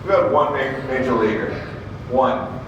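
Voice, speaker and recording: A loud-voiced man giving an earnest tribute speech to his former coach at a banquet. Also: medium pitch, unhurried, lo-fi sound. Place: banquet room. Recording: echoey room, distant mic, noise.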